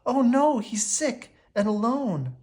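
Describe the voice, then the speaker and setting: A man voicing a kind, spirited young woman in a storybook, earnest and gentle but firm. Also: extremely high pitch, big pitch swings, slow, pitched above the performer's own voice, full character voice. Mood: distressed.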